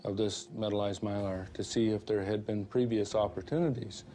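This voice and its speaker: Plain-spoken older male investigator, flat tone. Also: on the low side, moderate pace, a little hiss or hum. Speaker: accident investigator. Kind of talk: interview. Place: dark studio interview set. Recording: music laid under the interview.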